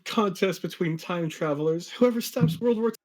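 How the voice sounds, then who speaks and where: A man's voice: sits high, normal speed, darkish tone. A man chatting casually as a guest commentator, musing in a dry, hesitant way. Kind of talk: casual chat.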